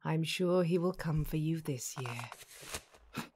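A woman playing a calm, motherly figure in an audio drama, measured, formal and gently reassuring. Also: sits low, a little hiss or hum. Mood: affectionate. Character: calm, composed, motherly female reptilian detective. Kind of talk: fan audio drama acting.

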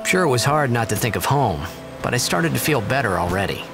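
An actor playing a brash, upbeat teenage athlete in a fantasy video game, with a boyish, earnest delivery. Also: male voice, mid-range voice, sing-song, fairly quick, over music. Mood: reflective, calm. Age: young adult. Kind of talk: video game voice acting, with reflective narration.

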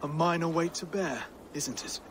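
An actor playing a gentle, earnest young Saxon thane in a video game, with an English accent and a courteous, measured manner. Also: male voice, a little high, a little hiss or hum, lightly acted. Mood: resigned. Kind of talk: video game cutscene acting.

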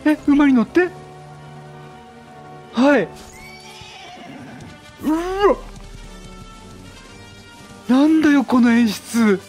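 A middle-aged man giving chatty, excitable Let's Play commentary, reacting and repeating his phrases. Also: extremely high pitch, drawn-out words, long pauses. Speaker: game streamer. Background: game music.

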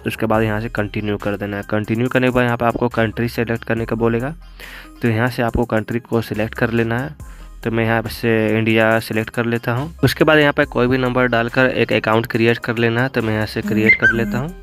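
A young adult man presents a tutorial over faint background music. His delivery is even and barely varies in pitch. He explains each step in an instructional way, returning to the same set phrases. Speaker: tech tutorial video host. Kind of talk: step-by-step app tutorial narration. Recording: music bed behind voice.

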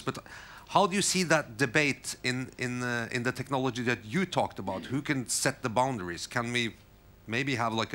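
Bright-voiced man moderating a panel. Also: medium pitch. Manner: halting questions with many fillers. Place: conference hall.

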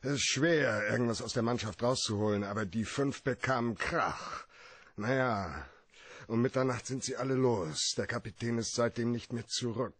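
A man acting a tough, terse private detective in a German radio play, with a husky voice and a dry, matter-of-fact manner. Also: mid-range voice, normal speed, a bit bright, no echo. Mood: brisk, matter-of-fact.

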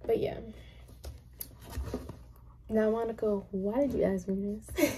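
A woman's voice: a little high, slow, mellow, a little hiss or hum. A young woman of about twenty, a college student, chatting casually to camera in a small bedroom, rambling with lots of fillers and restarts. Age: young adult.

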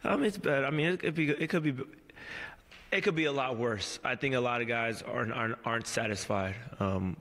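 A man in his thirties answering reporters at a postgame press conference, measured and halting, with many fillers and restarts, speaking into a table mic in a press room. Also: medium pitch, fairly bright tone, big-room sound. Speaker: pro basketball player at postgame press conference. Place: press room before a team backdrop. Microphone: table mic on a stand.

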